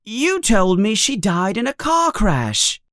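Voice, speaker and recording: A high startled young boy. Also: huge pitch swings, slow pace, fairly bright tone, no room sound, clean studio sound, exaggerated voice. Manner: high, eager questioning.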